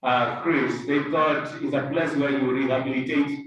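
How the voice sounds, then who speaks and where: A man's voice: medium pitch, fairly even intonation, normal speed, fairly bright tone, lo-fi sound. A man moderating and asking clarifying questions informally. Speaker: host and moderator. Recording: echoey room, distant microphone.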